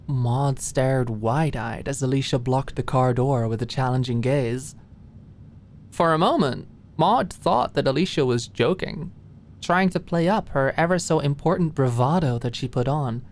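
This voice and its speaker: A woman's voice: a bass voice, measured pace, darkish tone. A woman reading scripted story narration for an ASMR-style audiobook.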